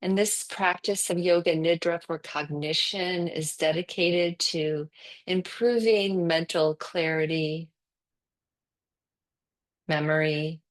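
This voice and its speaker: A woman leads a guided relaxation as a yoga nidra teacher. Her voice sits slightly low and moves very slowly. She gives calm, soothing instructions in short phrases, leaving long pauses between them.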